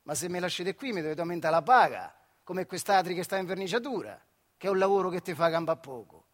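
A man voicing a combative, stubborn factory worker in stage storytelling, blunt and roughly colloquial. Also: sits high, fast, in a large hall. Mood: defiant.

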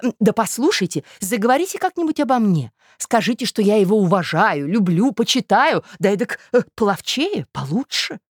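A woman's voice: mid-range voice, very animated, moderate pace, few pauses, no echo, studio quality, pitched above the performer's own voice. A woman playing an effusive, scheming society mother from a classic novel with sugary, theatrical manners. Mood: coaxing. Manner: theatrical, effusive, peppered with French endearments.

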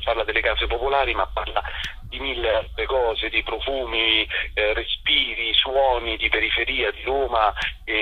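A man's voice: medium pitch, breathy edge, dry recording. A man, interviewed as an actor and playwright, chatting informally and often checking in with tag questions. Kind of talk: informal interview, explaining his work. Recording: muffled, dull phone-like line.

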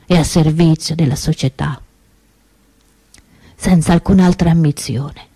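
A reformist preacher in a radio drama, solemn and accusing. The voice is low and mellow with a husky grain, recorded close and dry. The delivery is rhetorical, like a stage sermon. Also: female voice, no room sound. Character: impassioned reformist preacher celebrating mass, accusing the Church. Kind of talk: theatrical sermon monologue.

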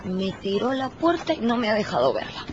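An actress playing a polite, anxious village innkeeper in a radio drama, deferential, fussing and curious. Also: female voice, mid-range voice, huge pitch swings, brisk, noisy background. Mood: puzzled. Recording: muffled radio drama with music underneath.

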